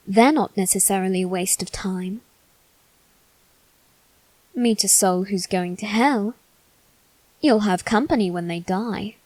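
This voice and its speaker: A woman playing a brooding, haughty aristocratic boy bent on revenge, speaking formally and deliberately in a dramatic ASMR roleplay. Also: mid-range voice, a little mellow, dry recording, rough recording. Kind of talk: dramatic ASMR roleplay monologue addressed to listener.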